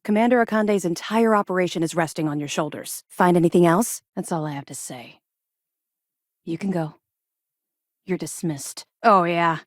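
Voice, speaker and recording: Woman acting as tough space navy officer lady, lowish voice, clipped and dry, game dialogue, super dry studio sound. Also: moderate pace, no echo, natural voice. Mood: firm, stern. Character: stern, seasoned female space-navy officer, reformed ex-pirate. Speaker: second-in-command military officer in a sci-fi game.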